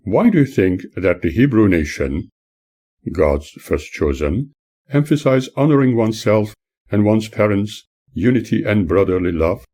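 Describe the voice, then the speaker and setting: A man reading scripted audiobook-style narration in a measured, earnest, oratorical way. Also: lowish voice, animated, mellow. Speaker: author reading own book.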